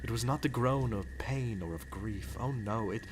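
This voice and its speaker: A man giving a dramatic reading of a gothic horror story in an intense, feverish first-person delivery, swinging from loud declarations to hushed whispers. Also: mid-range voice, normal speed, crowd noise. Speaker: reader. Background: music.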